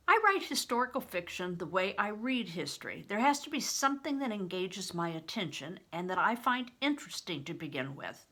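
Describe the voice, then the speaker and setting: An older woman reading aloud in a deliberate, expressive way, with informal asides of her own. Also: mid-range voice. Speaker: read-aloud host.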